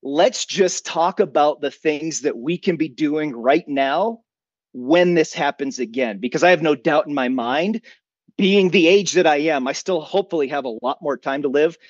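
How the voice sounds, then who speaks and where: Higher-voiced earnest man, slightly dull recording. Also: moderate pace, no echo. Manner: earnest, opinionated, rhetorical questions to himself. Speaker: podcast guest, emergency preparedness expert. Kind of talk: conversational interview, long personal explanations.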